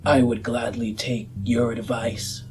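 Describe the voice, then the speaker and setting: A man playing a courteous, earnest politician campaigning for power in a roleplayed audio drama, speaking formally and deliberately. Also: medium pitch, flat delivery, darkish tone, a little hiss or hum, lightly acted. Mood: courteous, persuasive. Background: music.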